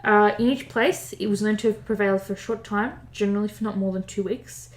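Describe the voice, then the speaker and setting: A woman's voice: mid-range voice, fairly even intonation, a little fast, echoey room. Chatty woman reading history notes. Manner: chatty, stumbles over old wording, laughs and swears at herself. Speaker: podcast co-host. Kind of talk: casual podcast, reading history notes aloud.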